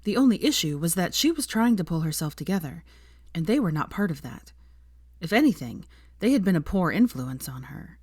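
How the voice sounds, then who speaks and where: A woman's voice: fairly low pitch, very animated, brisk, darkish tone, slightly rough, no room sound, studio quality. A woman reading fiction aloud as an audiobook narrator, in scripted storytelling style.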